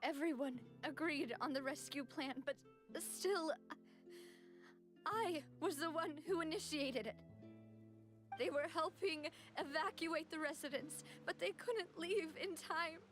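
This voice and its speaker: A high, youthful female voice playing an earnest young noblewoman in an anime game. She is dutiful and emotional, a leader carrying grief, and music plays underneath. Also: a little slow, a bit bright. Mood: grief-stricken. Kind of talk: anime-style video game drama acting. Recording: music behind.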